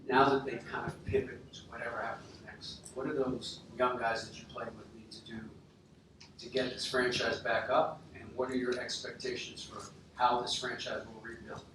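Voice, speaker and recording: A man's voice: medium pitch, level tone, a little slow. Male reporter, medium voice, press conference question, heavy room echo. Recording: echoey room, distant mic, noisy.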